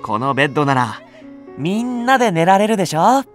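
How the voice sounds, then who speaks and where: An actor playing a gentle, patient young male nursery teacher, speaking in a soft, soothing way. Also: high voice, very animated, brisk, faint music behind. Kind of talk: anime voice drama acting.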